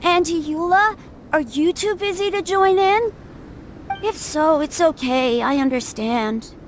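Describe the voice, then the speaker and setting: A woman's voice: extremely high pitch, measured pace, a little hiss or hum. A woman voicing a sweet, polite and eager little boy in a video game.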